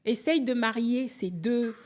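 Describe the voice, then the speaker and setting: A woman in her forties, from Angola, talking as a podcast guest. Her voice sits a little on the higher side, and she tells stories animatedly, slipping into quoted voices. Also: unhurried. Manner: animated, storytelling, restarts and acts out quotes. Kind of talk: candid conversational interview.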